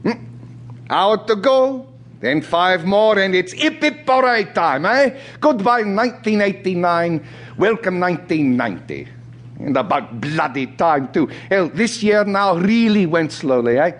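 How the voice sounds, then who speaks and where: An actor playing a restless, talkative South African ex-soldier in an audio drama, chatty and colloquial. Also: male voice, high-pitched, lively intonation, measured pace. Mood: eager, joking. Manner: chatty, colloquial, punctuated by sighs and short laughs. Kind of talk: audio theatre acting.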